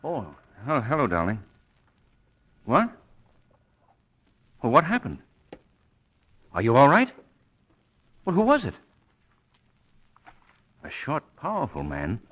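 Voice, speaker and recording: Suave young gentleman sleuth, lively inflection, confident, urbane, old-time radio drama, lo-fi sound. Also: medium pitch, slow pace, pauses often, exaggerated voice. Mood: concerned, urgent. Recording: dull lo-fi old radio recording.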